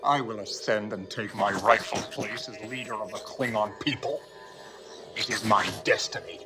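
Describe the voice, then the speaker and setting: An actor playing an ambitious, commanding Klingon lord in a video game cutscene, speaking in an imperious, deliberate way. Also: male voice, on the low side, music in the background, natural voice. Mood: imperious, measured.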